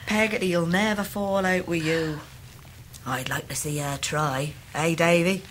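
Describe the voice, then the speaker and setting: An actress playing a warm, outspoken, devoted nursemaid in a radio drama, with a homely, blunt manner. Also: female voice, on the low side, fairly even intonation, unhurried, a little hiss or hum, natural voice. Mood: fond. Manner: homely, plain-spoken, blunt. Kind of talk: 1990s radio drama acting.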